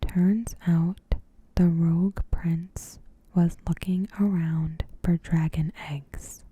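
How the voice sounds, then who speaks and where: A woman softly whispering a scripted recap in a gentle, hushed, breathy ASMR narration. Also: fairly low pitch, steady tone, slow pace, a little hiss or hum. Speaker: ASMR host.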